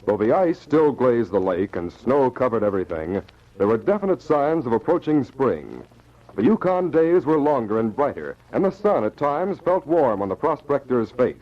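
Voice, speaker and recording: A man's voice: mid-range voice, very animated, normal speed. A man narrating a frontier adventure serial as a vintage radio announcer, grand and theatrical. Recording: muffled, noisy old radio recording.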